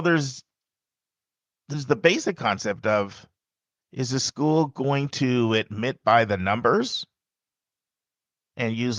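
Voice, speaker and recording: A man hosting a podcast, speaking loudly and emphatically in a casual monologue with frequent asides to the listener. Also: mid-range voice, no echo. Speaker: podcast host and college admissions advisor.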